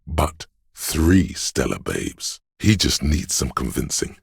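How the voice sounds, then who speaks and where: An extremely low, heavily rasping male voice plays a scheming inner voice in a video game. He narrates straight to the player with measured, coaxing insinuation. The sound is dry and close, with a gravelly creak under the words. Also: very animated, no room sound, exaggerated voice. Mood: sly, measured. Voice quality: gravelly, husky bass. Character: smooth, sly, scheming inner voice of persuasion, male. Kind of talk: scripted second-person game narration.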